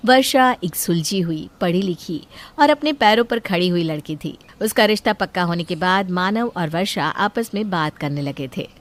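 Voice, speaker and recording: A woman's voice: fairly low pitch, expressive, moderate pace, few pauses. A woman narrator telling a family story in scripted narration.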